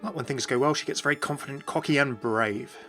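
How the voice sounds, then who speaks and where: A man's voice: medium pitch, quick pace, bright, forceful tone. Male video presenter, mid-range voice, explanatory fan commentary addressed to the audience, light music bed. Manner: explanatory, addresses viewers directly. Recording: voice-over with faint music behind.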